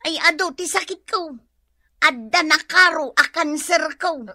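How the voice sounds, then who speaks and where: An actress playing a cheeky, defensive gossip with an Ilocano accent, bantering sassily in a radio comedy drama. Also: female voice, high voice, a little slow, bright, forceful tone, dry recording, studio quality, heavily acted. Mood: defensive, sassy. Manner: sassy, bantering. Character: cheeky, defensive debt-dodging gossip named Marites.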